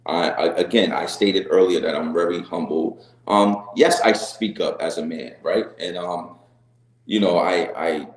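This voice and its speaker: Deep-voiced man, earnest and halting. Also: roomy sound, poor audio. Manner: earnest, repeats words and restarts, says "right?" often. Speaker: reality show guest. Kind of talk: candid interview answers.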